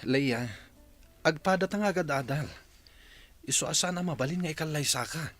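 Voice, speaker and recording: A man's voice: a little high, moderate pace, a bit bright, soft background music. A man playing an apologetic, defensive young student boyfriend in an Ilocano radio drama. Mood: evasive, apologetic.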